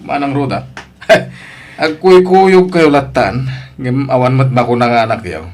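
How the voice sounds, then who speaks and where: Boastful, mid-pitched Ilocano father played by an actor. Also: expressive, unhurried, natural voice. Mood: smug, taunting. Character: boastful, needling father, proud of his grown child. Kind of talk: Ilocano audio drama acting.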